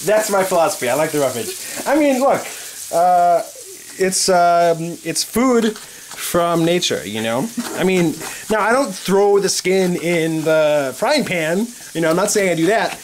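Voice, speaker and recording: A man's voice: high voice, lively intonation, unhurried, slight background noise, low-quality recording. A young man, a composer, hosting a joking home cooking show in a small kitchen, rambling mock-earnestly with lots of fillers. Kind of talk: tongue-in-cheek casual cooking show talk. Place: small home kitchen with brick wall, indoors.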